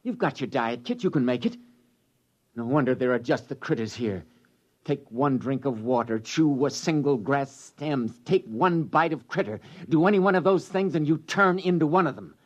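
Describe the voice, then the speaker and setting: A man's voice: medium pitch, expressive, moderate pace, dull audio, natural voice. A man playing a commanding, grimly practical space crewman in 1950s radio drama style, with dramatic delivery. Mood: grave, urgent.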